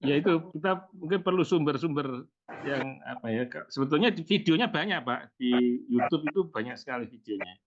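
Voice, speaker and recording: A man's voice: a little high, expressive, a little fast. A man who is a professor, chairing an online meeting, hesitant and asking many questions over a video call. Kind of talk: casual online meeting discussion. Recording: muffled noisy call audio.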